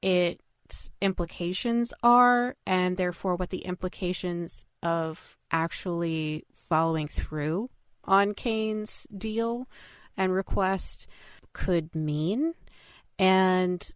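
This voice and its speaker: Slow-talking woman with a smooth voice. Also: on the low side, no echo, clean studio sound. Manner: thoughtful, measured, analytical. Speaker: podcast panelist. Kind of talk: casual fan discussion.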